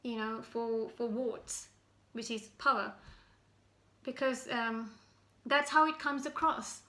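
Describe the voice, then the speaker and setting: A Sri Lankan woman speaks in a bright, forceful voice that sits fairly high and stays quite level. She addresses her listener directly with earnest, halting criticism, like a citizen activist. The room around her sounds echoey. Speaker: citizen activist giving an open message. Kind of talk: direct spoken address to camera, semi-prepared.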